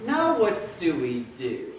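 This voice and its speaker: A woman's voice: on the low side, huge pitch swings, takes it slowly, a little hiss or hum, exaggerated voice. A woman playing a sensible writer in a live scripted comedy sketch. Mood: sensible, questioning. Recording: very echoey, distant, loud crowd.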